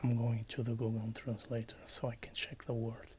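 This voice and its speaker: A man from Portugal with a Portuguese accent, chatting quietly on a stream, hesitant, with many fillers and slips into whispers. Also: mid-range voice, monotone, normal speed, a little hiss or hum. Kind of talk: quiet casual chat with viewers.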